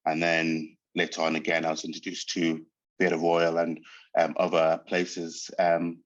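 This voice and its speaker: A man reflects on his path as an actor, pausing often to search for words. He grew up speaking Portuguese first. The sound is dull and muffled. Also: sits low, completely flat delivery. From Angola. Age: 30s. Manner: thoughtful, hesitant, searching for words. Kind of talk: reflective interview monologue.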